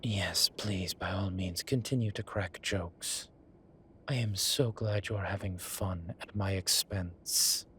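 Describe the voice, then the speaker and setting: A man playing a slow-talking, suave incubus professor. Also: low voice, fairly bright tone, slight background noise. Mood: exasperated, dry. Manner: posh, drawling, self-satisfied, wry. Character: suave vain male incubus demon posing as a posh professor. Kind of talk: scripted audio roleplay acting. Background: ambient sound effects.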